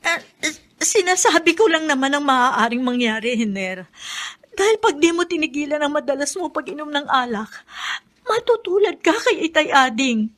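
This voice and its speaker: Filipino wife in radio drama, high voice, nags and scolds out of concern, insistent. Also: female voice, big pitch swings, moderate pace, a bit bright. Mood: scolding. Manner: reproachful, insistent. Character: concerned, nagging wife with a firm conscience.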